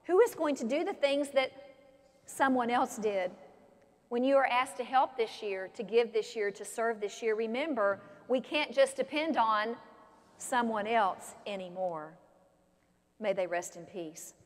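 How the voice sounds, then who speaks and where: An older woman pastor preaching an animated sermon from a pulpit in a large church sanctuary. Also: on the high side, unhurried. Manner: animated, expressive preaching with gestures. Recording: long church reverb.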